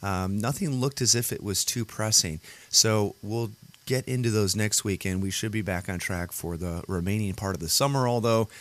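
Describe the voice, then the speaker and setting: A man hosting his own show in casual solo chat, his voice gravelly and worn today, rambling with fillers and restarts. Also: lowish voice, moderate pace. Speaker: podcast host. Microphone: computer audio setup.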